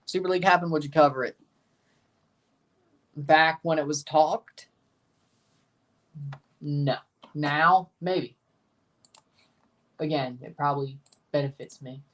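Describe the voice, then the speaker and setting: A man's voice: fairly high pitch, steady tone, slow pace, long pauses, a bit bright. Southern American male streamer, loose and chatty, mild cursing, a bit distant from the mic. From United States. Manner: rambling, casual, mild swearing. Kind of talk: live match watchalong chat.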